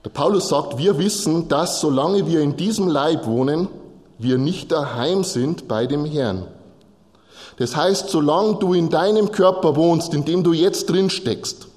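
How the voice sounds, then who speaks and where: Male preacher, slightly high-pitched voice, explanatory sermon delivery with direct address, long hall reverb. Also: measured pace, darkish tone. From Bavaria, Germany. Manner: explanatory, direct address with short repeated phrases. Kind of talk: sermon, teaching from Bible texts to a congregation.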